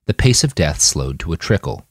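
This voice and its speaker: A man's voice: deep voice, very animated, moderate pace, creaky, husky, no room sound, studio quality. A man reading scripted fiction as a dramatic audiobook narrator, acting out the dialogue. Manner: dramatic, acts out character dialogue. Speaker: author reading his fiction podcast.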